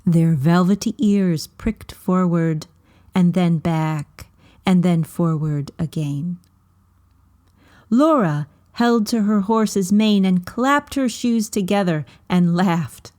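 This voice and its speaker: A woman reading a children's novel aloud as storybook narration, with measured, deliberate phrasing. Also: on the low side, a little mellow.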